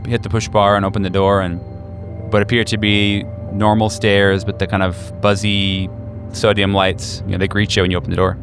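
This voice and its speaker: A man narrating a tabletop game as game master, improvising in a conversational, halting way. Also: on the low side, level tone, music in the background.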